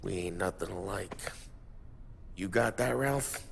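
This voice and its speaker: A man's voice: deep voice, measured pace, gravelly, fairly bright tone, slight background noise, exaggerated voice. An actor playing a brash, mocking male mob enforcer with tough street slang, in a video game cutscene. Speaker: gangster. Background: cinematic music.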